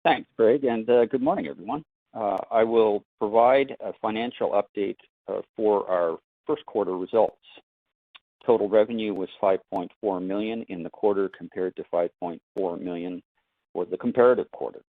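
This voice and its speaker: A man with a low voice presents a scripted financial review as a finance executive. His delivery is formal and measured, heard over a dry, narrow phone connection. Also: no echo. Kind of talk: scripted financial report on earnings call. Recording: dry, band-limited phone line.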